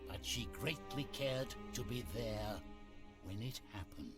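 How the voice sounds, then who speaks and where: An actor playing a wry, knowing storyteller, giving theatrical scripted narration for a fantasy game. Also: male voice, medium pitch, slow, natural voice. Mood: wry, ominous. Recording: dramatic music behind.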